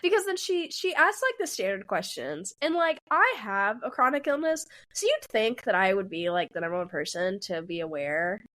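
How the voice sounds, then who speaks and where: A woman's voice: mid-range voice, big pitch swings, moderate pace, hardly any pauses, fairly bright tone. A woman in her twenties, a podcast co-host, telling a rambling story in casual chat and acting out what people said. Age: 20s. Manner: rambling, laughing, acts out quotes.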